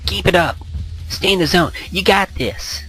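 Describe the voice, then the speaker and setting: A man voicing an excitable, pushy friend in a visual novel game, urging a player on with emphatic cheers. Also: sits high, normal speed, creaky, soft background music, pitched above the performer's own voice, exaggerated voice, low-quality recording. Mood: urgent cheering.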